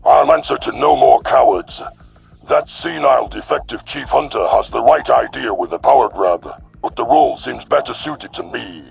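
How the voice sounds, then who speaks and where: A man voicing an arrogant, contemptuous alien predator warlord in a sci-fi audio drama, with a gravelly growl and a boastful, sneering manner. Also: mid-range voice, normal speed, faint music behind, voice pushed down, low-quality recording.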